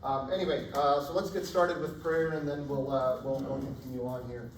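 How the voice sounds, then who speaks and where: Hoarse male chemistry lecturer in echoey hall. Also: mid-range voice, steady tone, moderate pace. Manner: casual, checks in often with "right?". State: hoarse, losing his voice. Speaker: chemistry instructor. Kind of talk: classroom lecture. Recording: echoey lecture hall, distant mic, faint room noise.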